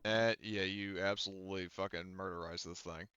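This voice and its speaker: A man's voice: deep voice, steady tone, normal speed, bright, forceful tone, smooth, dry recording. A man casually explaining rules and narrating as the game master of a tabletop roleplaying game. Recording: dull lo-fi microphone.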